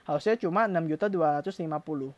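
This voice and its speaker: A woman's voice: low voice, quick pace, no room sound. A woman with an Indonesian accent giving an informal step-by-step accounting tutorial, addressing learners directly and often asking questions that she then answers herself. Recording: dull, lo-fi close dry recording.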